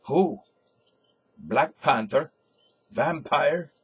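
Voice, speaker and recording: An older man voicing a groggy young poet who murmurs verse thickly with drawn-out vowels in a literary reading. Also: fairly high pitch, animated, breathy edge, lo-fi sound, voice pushed up, full character voice. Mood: dazed, dreamy.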